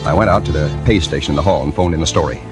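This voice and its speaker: An actor playing a brash, wisecracking American newspaper reporter, narrating in a hardboiled, sardonic 1940s radio noir style. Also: male voice, extremely low pitch, rapid-fire. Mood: tense narration. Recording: vintage 1940s radio drama with music.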